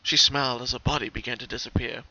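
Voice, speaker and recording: Bright, dry-sounding dramatic story narrator. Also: mid-range voice, flat delivery, quick pace, no echo, dull audio. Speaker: narrator, fan fiction reader.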